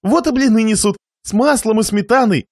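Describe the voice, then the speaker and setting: A man's voice: sits high, a little fast, a little mellow, dry recording, clean studio sound, pitched above the performer's own voice. A man voicing a miserly, suffering merchant host in a satirical story, fawning with plaintive, forced politeness while seething underneath. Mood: forced enthusiasm. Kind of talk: dramatised reading of a satirical short story.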